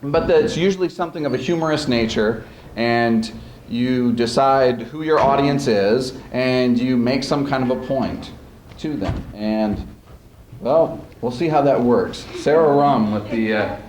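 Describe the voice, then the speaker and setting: A man's voice: mid-range voice, unhurried, a little mellow. A man hosting a showcase as speech coach, rambling and jokey in his informal introductions. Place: school event before an audience. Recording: echoey hall with background noise.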